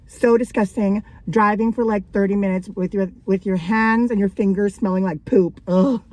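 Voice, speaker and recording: A woman vlogging casually about her thrift finds, her voice raspy from days of coughing, rambling and laughing between thoughts. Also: medium pitch, steady tone, moderate pace, a little mellow, rough recording. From Canada. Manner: chatty and rambling, frequent likes and laughs. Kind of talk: casual thrift haul vlog.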